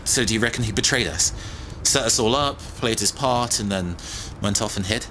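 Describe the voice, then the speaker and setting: A man's voice: lowish voice, moderate pace, fairly bright tone, slight background noise, lightly acted, low-quality recording. An actor playing a mild-mannered, slightly awkward tech guy in a science fiction audio drama, chatty but hesitant. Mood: suspicious, aggrieved.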